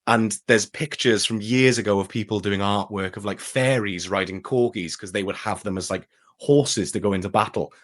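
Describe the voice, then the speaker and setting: A man with a fairly low-pitched voice, talking as a guest in a relaxed interview. His delivery is casual and keen, in an everyday conversational style. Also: normal speed. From Wales. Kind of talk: casual enthusiastic interview chat.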